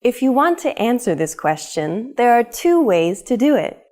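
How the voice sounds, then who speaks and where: A woman presenting a scripted English lesson, clearly and brightly modeling example phrases for learners. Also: fairly high pitch, sing-song, moderate pace. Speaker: English teacher.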